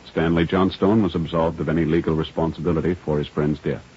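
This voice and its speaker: A male announcer reading in a formal, measured, official 1950s radio style. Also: very deep voice, fairly even intonation. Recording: muffled old radio recording, loud music behind.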